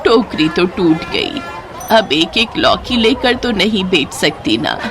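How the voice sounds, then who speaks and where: Woman voicing a slow-talking, kindly old village woman. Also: mid-range voice, big pitch swings, noisy background. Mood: weary, dejected. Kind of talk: Hindi moral-story cartoon dialogue.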